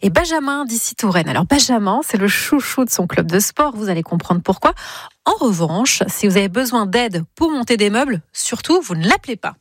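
A woman's voice: mid-range voice, expressive, fairly quick, dry recording, clean studio sound. A woman presenting a radio show introduction in an upbeat announcing tone.

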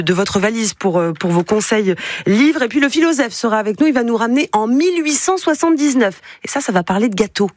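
A woman's voice: medium pitch, a little hiss or hum. A woman hosting a regional radio show from a studio, chatting casually and greeting listeners by name. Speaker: radio host. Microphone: studio microphone.

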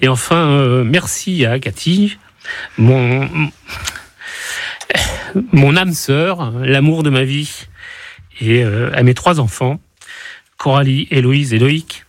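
A man in his sixties, a retiring radio host with a slight cold, giving a halting, heartfelt farewell on live air. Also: mid-range voice, no echo. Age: 60s. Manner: halting, heartfelt, many restarts. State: has a cold. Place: radio studio.

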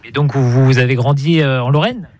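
Quick, playful male street reporter. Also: medium pitch, a little mellow. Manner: playful, teasing. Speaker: radio reporter. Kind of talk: street interview.

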